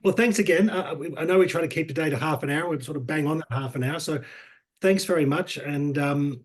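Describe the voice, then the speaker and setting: A man's voice: fairly high pitch, fairly quick, husky. A man hosting a webinar over a video call, talking loosely with many fillers and repeated words. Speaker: webinar host.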